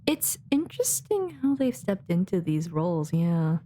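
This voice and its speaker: A woman's voice: fairly low pitch, very animated, measured pace, warm tone, slight background noise. A woman streaming a game, giving casual live reactions in hesitant, musing asides.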